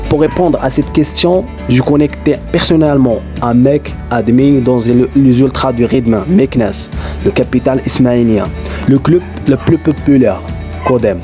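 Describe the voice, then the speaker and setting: Mellow-voiced Moroccan man vlogging. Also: medium pitch, a little slow, faint music behind. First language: Darija. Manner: chatty, loose non-native French, frequent fillers. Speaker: YouTuber. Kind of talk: casual vlog storytelling.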